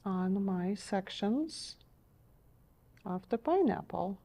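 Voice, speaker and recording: A middle-aged woman teaching a step-by-step painting lesson in a TV studio, gentle and encouraging, her voice a little strained as she is losing it. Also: mid-range voice, lively intonation, takes it slowly. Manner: gentle, encouraging, checks in with "okay?". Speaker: painting instructor.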